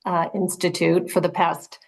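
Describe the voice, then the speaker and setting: Older woman host, lowish voice, lots of ums, long wandering questions over a video call. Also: level tone, measured pace, gravelly. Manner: warm, rambling questions with fillers. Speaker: interviewer, host. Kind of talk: casual interview. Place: small room at home.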